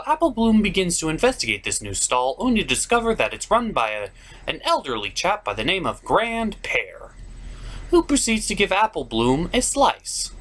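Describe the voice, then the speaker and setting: A man's voice: high-pitched, a bit bright. A man hosting a scripted comedic cartoon review, narrating in a theatrical, exclamatory way.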